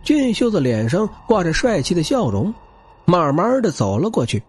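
A man's voice: high voice, wildly swooping pitch, moderate pace. A man narrating an audiobook novel in a dramatic, scripted storytelling style, with music behind him. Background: background music.

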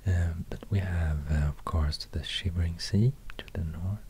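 A man with a Swedish accent speaking in a soft, mostly whispered ASMR style, gentle and hesitant. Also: low-pitched, fairly even intonation, moderate pace. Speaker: ASMR video host. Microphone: close binaural microphone. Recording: close binaural mic, faint hiss, clicks.

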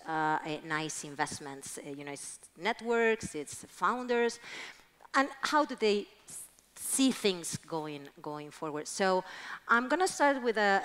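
A woman, moderating a panel on a conference stage and pausing on many fillers. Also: lowish voice, fairly bright tone, clear, resonant tone. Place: large conference hall stage. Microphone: headset mic.

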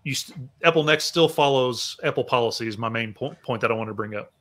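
A man co-presenting over a video call, explaining technical points in a measured way and adding follow-up remarks. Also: medium pitch, fairly bright tone.

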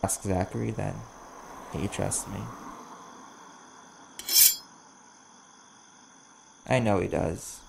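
A man voicing a wary, desperate vampire bounty hunter in an audio roleplay, blunt and guarded, with a gravelly voice. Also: on the low side, fairly even intonation, normal speed. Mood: insistent, guarded. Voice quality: gravelly, creaky. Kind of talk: ASMR audio roleplay acting. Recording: clear background noise, chirping crickets.

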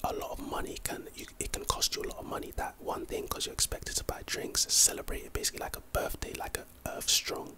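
A man's voice: fast, few pauses. A man with a London accent doing casual ASMR commentary, reading and reacting to trivia in a rambling, playful, slangy way. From London, England.

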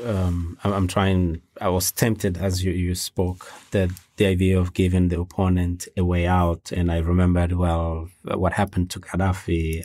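A man's voice: deep voice, a little mellow, dry recording. A man hosting a podcast, asking a long question in a hesitant way and searching for words. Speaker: podcast host. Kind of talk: interview questions.

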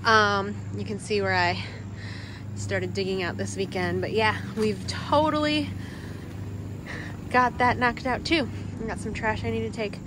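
A middle-aged woman chatting casually and excitedly as she films herself outdoors at a house site with a handheld phone. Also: medium pitch, measured pace, background noise. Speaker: vlogger renovating a farmhouse. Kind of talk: casual walkthrough chat. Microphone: phone held at arm's length.

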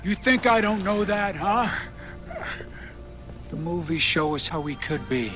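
A man's voice: high voice, expressive, unhurried, over music. A man playing an anxious, idealistic survivor in a video game, speaking with earnest emotion. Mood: troubled idealism.

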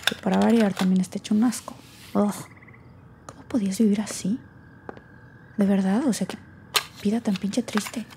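A woman's voice: mid-range voice, fairly even intonation, moderate pace, creaky, long pauses, dark tone, a little hiss or hum, natural voice. A woman playing a guarded, irritable young woman with a Mexican accent, speaking casually and brusquely in an audio drama. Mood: scornful, musing. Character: guarded, irritable young woman clearing out her late father's house. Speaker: lead actor in an audio drama. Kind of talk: naturalistic audio fiction acting.

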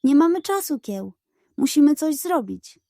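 A woman's voice: high-pitched, sing-song, measured pace, creaky, dark tone, no room sound, clean studio sound, pitched above the performer's own voice, heavily acted. A woman voicing a brave male tiger pirate captain in a bedtime story, urgent and commanding. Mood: urgent, determined.

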